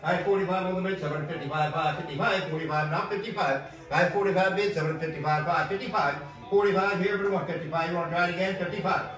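A man's voice: high-pitched, fast, hardly any pauses, fairly bright tone. A man working as an auctioneer, calling live bids in a rhythmic, repetitive chant of numbers. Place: indoor metal-walled storage facility corridor. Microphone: camera several metres away. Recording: echoey storage hallway, distant microphone.

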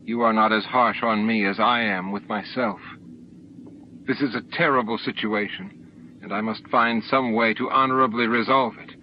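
A man's voice: on the low side, steady tone, normal speed, natural voice. A man voicing an honorable, troubled male alien in an audiobook, earnest and formal in his speech. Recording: dull noisy audiobook recording.

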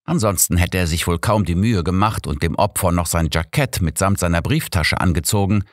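A man's voice: sits low, brisk, no echo, studio quality, lightly acted. Man voicing an emphatic old master detective. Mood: shrewd, insistent. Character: old male master detective, shrewd and insistent.

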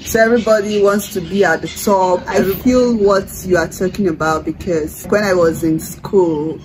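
A woman's voice: fairly low pitch, moderate pace. A young woman hosting a casual interview indoors, chatty and laughing often, asking playful questions. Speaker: host. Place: indoors, room with a red wall and a barred window. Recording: noisy home video.

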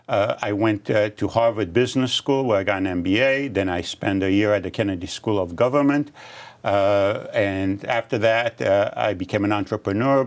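An older man from Romania, a candidate being interviewed in a studio, answering in a measured way with many restarts. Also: fairly low pitch. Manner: measured, with frequent restarts and fillers. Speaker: congressional candidate guest. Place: dark studio set, seated at table.

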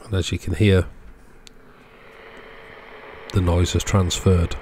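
A man's voice: low-pitched, level tone, slow, darkish tone, slight background noise. A middle-aged man narrating casual workbench repairs as a channel host, explaining step by step in short, plain phrases.

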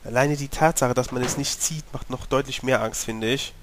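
A man's voice: mid-range voice, fairly even intonation, normal speed, slight background noise. German man with a cold narrating gameplay. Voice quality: slightly hoarse. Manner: chatty, talks to himself and the game character. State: has a cold, clearing his throat. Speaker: let's play gamer. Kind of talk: casual gameplay commentary.

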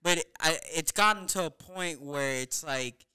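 A man's voice: fairly high pitch, normal speed, pushed and bright, clean tone, poor audio. A young man co-hosting a podcast in casual banter, slangy and sweary, cutting in on a close microphone. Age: young adult. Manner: slangy, profane, interjecting. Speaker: podcast co-host. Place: small indoor podcast room. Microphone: close podcast microphone on boom arm.

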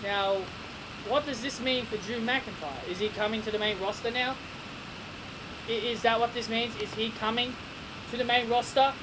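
A young man giving a casual wrestling review from his bedroom, excitable and repeating himself with stammering restarts, recorded on a desk webcam. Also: extremely high pitch, moderate pace. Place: small bedroom. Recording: noisy home webcam recording.

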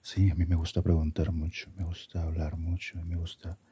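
Casting: male performer as calm, philosophical Death for ASMR roleplay; very low, husky, breathy half-whisper, gentle and musing. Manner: unhurried, musing, asks reflective questions.

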